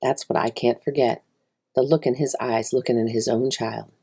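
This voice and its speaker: A woman voicing an anguished, plainspoken mother wolf in a dramatic first-person story reading, grave and emotive, with a husky, breathy voice. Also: very deep voice, fairly even intonation, moderate pace, warm tone, pitched below the performer's own voice, heavily acted. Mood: sorrowful, haunted. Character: mother wolf telling of her husband's horrifying change, anguished, plainspoken.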